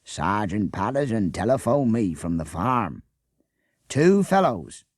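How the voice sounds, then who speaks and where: A man's voice: fairly low pitch, sing-song, slow pace, darkish tone, no room sound, studio quality, pitched above the performer's own voice, full character voice. A man voicing a blustering, suspicious village policeman who talks sarcastically and keeps adding "eh?". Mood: sarcastic, suspicious. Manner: sarcastic, jeering, tacks on "eh?".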